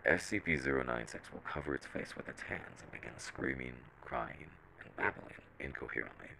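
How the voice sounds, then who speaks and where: A man playing a measured, clinical reader of a scripted horror audio log, with a very deep, gravelly voice. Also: sharp tone, a little hiss or hum, full character voice, low-quality recording. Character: SCP Foundation document reader and shaken field soldier.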